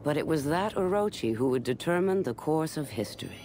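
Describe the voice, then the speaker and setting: An actor playing a grave warlord who narrates a video game war story in a measured, solemn way. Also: male voice, a little high, big pitch swings, darkish tone, soft background music. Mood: solemn, narrating.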